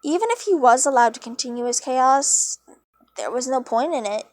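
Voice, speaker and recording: Woman reading fanfic out loud, high voice, informal and dramatic, dry close recording. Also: animated, moderate pace, no echo. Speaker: fan fiction reader. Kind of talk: amateur storybook narration with casual asides.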